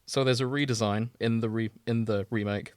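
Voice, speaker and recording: A man's voice: on the low side, steady tone, fairly quick, no room sound. A British man explaining things in a casual, wry, informal podcast chat, sometimes sweary. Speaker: podcast host explaining a video game.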